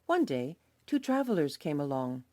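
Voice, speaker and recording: A woman teacher reading a children's storybook aloud, slow and expressive. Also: deep voice, no room sound. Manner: slow, expressive, lively voices for characters.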